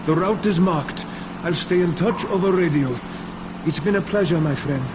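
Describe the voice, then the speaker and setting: A friendly yet guarded smuggler played by a man in a survival game, with a fairly high male voice. The sound is dull, with loud noise all around. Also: normal speed, natural voice. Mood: cordial, brisk. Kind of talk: video game cutscene acting.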